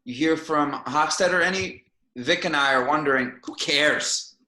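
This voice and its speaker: Man as a sharp, echoey teenage bully. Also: a little high, normal speed. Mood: angry, sarcastic. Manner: aggressive, sarcastic. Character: swaggering foul-mouthed teenage male bully, hot-tempered. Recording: echoey room.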